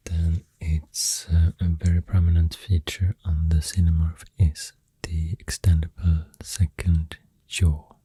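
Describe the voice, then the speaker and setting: A soft-spoken ASMR host with a Swedish accent, half-whispering in a breathy voice and hesitantly rambling up close to the microphone. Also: a bass voice, no room sound. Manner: hushed, hesitant, pausing mid-thought. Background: pencil writing sounds.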